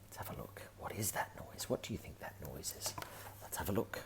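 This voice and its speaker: A man reading a children's picture book aloud, with gentle, often whispered asides. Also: low voice, expressive, fairly quick.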